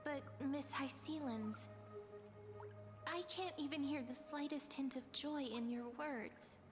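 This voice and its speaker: A high, clear woman's voice plays a composed young companion in a game, asking calm, measured questions. There is a long reverb tail on her lines, and game music plays behind. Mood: probing. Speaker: game character. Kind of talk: video game voice acting.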